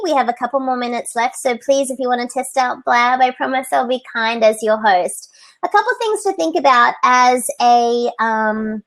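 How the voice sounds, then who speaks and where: Woman host, very high bright voice, upbeat chatty live stream, computer mic. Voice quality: high, light voice. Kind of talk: casual live video chat. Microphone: computer mic on video call.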